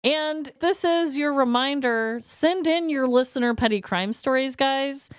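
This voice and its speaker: A woman co-hosting a comedy podcast in casual banter, expressive and quick to exclaim. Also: sits high, no room sound, studio quality. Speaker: podcast co-host.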